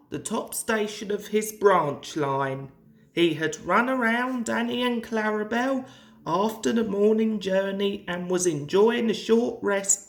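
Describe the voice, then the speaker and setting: A woman reading a children's story aloud as scripted narration, with a measured delivery. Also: mid-range voice, gravelly. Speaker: storybook reader.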